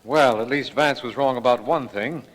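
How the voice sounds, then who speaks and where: An actor playing a genial, dry-humoured district attorney with hearty banter, in 1940s radio drama style. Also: male voice, mid-range voice, normal speed, fairly bright tone, lightly acted, low-quality recording. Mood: dry, mild. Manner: hearty, good-natured banter. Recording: 1949 radio recording with faint noise.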